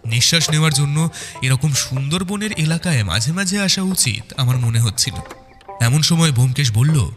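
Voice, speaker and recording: Expressive male story narrator, hall reverb. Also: a little high, normal speed, faint music behind. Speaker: narrator of a detective audio story. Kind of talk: dramatic scripted first-person narration.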